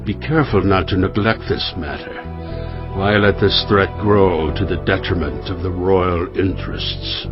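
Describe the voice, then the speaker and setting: Low-voiced commanding king over music. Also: steady tone, measured pace. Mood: regal, commanding. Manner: formal, authoritative. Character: commanding Persian king issuing decrees. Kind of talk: dramatized scripture reading. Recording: dull audio with loud music behind.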